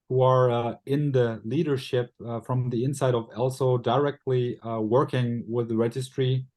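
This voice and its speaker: A man moderates a webinar. He has a mellow, mid-pitched voice and welcomes the speakers in a hesitant way, with fillers between his phrases.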